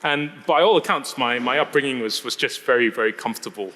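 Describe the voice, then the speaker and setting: Bright-voiced man from Singapore in reverberant hall. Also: medium pitch. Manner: halting, repeats words and phrases. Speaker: interview guest giving testimony. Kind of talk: personal story in live interview.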